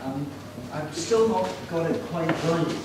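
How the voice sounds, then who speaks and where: Brief: Scottish man in his sixties, high voice, unhurried, joking about himself; distant, echoey room with noise behind. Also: lively intonation. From Scotland. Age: 60s. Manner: self-deprecating, chatty, asks the audience questions. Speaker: storyteller presenting his artwork. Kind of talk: informal talk to students.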